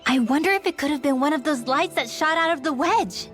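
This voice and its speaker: A woman's voice: high-pitched, fairly quick, a bit bright, soft background music. A woman voicing a curious, studious young female mage in a video game, earnest and bookish in her talk. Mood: pondering. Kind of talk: video game cutscene acting.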